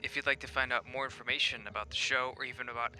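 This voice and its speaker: A man's voice: mid-range voice, steady tone, racing, bright, forceful tone. A man hosting a podcast, reading scripted episode credits in an announcer's role. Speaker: host, producer. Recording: music playing behind voice. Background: music.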